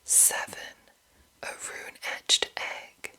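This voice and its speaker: A woman reading aloud in a breathy, hushed whisper for ASMR, speaking deliberately as she presents fantasy game lore. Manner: slow, hushed, deliberate enunciation. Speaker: ASMR host.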